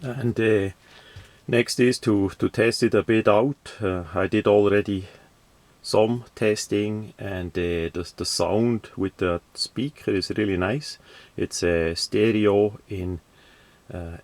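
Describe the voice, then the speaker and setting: A man giving an unscripted product review and demonstration in a hesitant, halting way, often repeating words. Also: fairly low pitch, fairly even intonation, a little mellow, no room sound. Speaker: radio reviewer.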